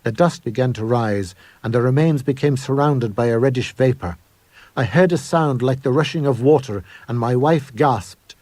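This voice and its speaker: A man reading as a haunted gentleman explorer who recounts horror from his journal, intense, grave and deliberate. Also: mid-range voice, dry recording, lightly acted. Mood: horrified. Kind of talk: dramatic scripted horror monologue.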